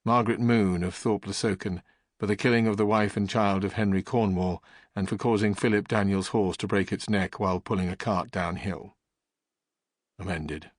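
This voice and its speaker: A man reading scripted audiobook text in a grave, formal and deliberate manner. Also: fairly low pitch, steady tone, no echo, studio quality. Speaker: audiobook narrator.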